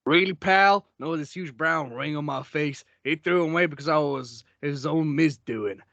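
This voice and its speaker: Male actor as aggrieved, sarcastic cartoon scrap, creaky and self-pitying, dull dry recording. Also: fairly high pitch, moderate pace, a bit bright, no room sound. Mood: bitter. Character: bitter, self-pitying stained paper named Ringo. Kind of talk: amateur table read over a group call. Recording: dry, dull group-call audio.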